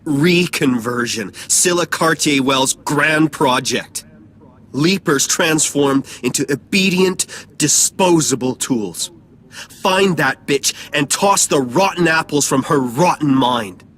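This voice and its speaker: Higher-voiced male rebel leader, theatrical. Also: lively intonation, takes it slowly, fairly bright tone, slight background noise. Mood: scornful, intense. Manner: theatrical, directive. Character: urgent, conspiratorial male resistance leader guiding an agent. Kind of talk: dramatic video game cutscene acting. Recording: music behind the voice.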